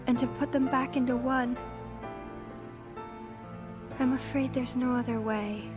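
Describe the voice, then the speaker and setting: An actress playing a solemn, wistful young girl in a fantasy game cutscene, speaking in a measured, pensive way. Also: high voice, steady tone. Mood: grave, resigned. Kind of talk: dramatic game cutscene acting. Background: new-age style music.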